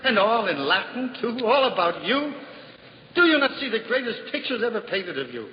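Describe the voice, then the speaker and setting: An actor playing a humble, simple-hearted juggler in a 1950s radio drama, earnest and self-deprecating. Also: male voice, normal speed, a little hiss or hum. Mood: devout, wondering. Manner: earnest, self-deprecating, lively swings in pitch. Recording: dull old radio broadcast with hall reverb and music.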